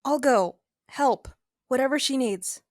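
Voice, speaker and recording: A woman's voice: sits high, measured pace, a little mellow, no echo, studio quality. An actress playing a loyal young woman hacker, candid and conversational, a little nervous. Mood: surprised, eager. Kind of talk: audio drama acting.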